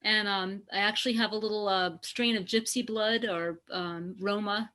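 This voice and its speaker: A woman from the US East Coast, an artist talking informally and reflectively about her own work in a halting way. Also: medium pitch, fairly even intonation, pushed and bright.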